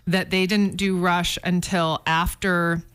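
A woman's voice: on the low side, little pitch movement, slow, a bit bright, clean tone. A middle-aged woman in casual, humorous talk show chat, telling gossipy stories with emphatic exaggeration. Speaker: radio talk show regular.

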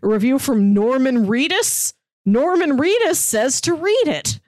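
A woman's voice: high-pitched, animated, a little slow, a little mellow, no room sound. A woman co-hosting a podcast in casual, chatty style, given to chuckling as she talks. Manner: chuckles often while talking. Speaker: podcast co-host. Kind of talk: casual chat.

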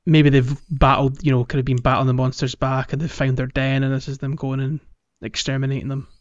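A man's voice: medium pitch, little pitch movement, fairly quick, no room sound. A man co-hosting a casual gaming podcast, phrasing things hesitantly and circling back over his words. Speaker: podcast co-host. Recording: dull, lo-fi, music behind.

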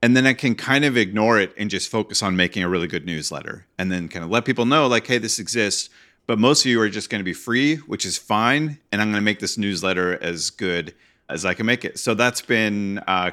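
A man's voice: low-pitched, brisk, sharp tone. A man co-hosting a podcast in casual chat, talking enthusiastically and rambling a little, with many fillers. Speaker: podcast co-host, newsletter writer.

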